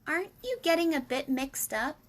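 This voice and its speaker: A woman's voice: high voice, expressive, moderate pace, voice pushed up. A woman voicing a polite, curious, plucky little girl in a children's storybook reading. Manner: questioning, polite.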